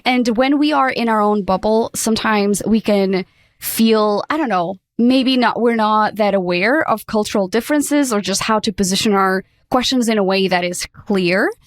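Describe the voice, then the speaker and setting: A woman's voice: medium pitch, moderate pace, no echo. Chatty woman, dry sound. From Portugal. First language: Portuguese. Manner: chatty and upbeat, many "like" fillers. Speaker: podcast guest. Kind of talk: casual interview chat.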